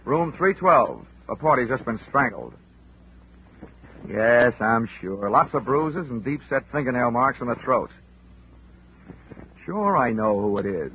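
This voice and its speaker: An actor playing a wisecracking, unflappable male insurance investigator in old-time radio style, with a wry, deadpan, commanding delivery. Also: medium pitch, a little slow, pauses often, a little hiss or hum. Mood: brisk, matter-of-fact. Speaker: detective lead and narrator. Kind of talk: old-time radio detective acting, hard-boiled narration. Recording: muffled vintage radio broadcast, music.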